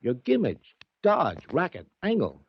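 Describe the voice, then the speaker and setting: Worried 1940s radio bookie, slangy and tough, voice swings around, dull old recording. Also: male voice, fairly high pitch, wildly swooping pitch, a little slow, husky, no echo, exaggerated voice. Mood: worried, frustrated. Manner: slangy, streetwise talk. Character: worried, slangy, tough male betting agent. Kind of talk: 1940s radio drama acting. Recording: dry, muffled vintage radio recording.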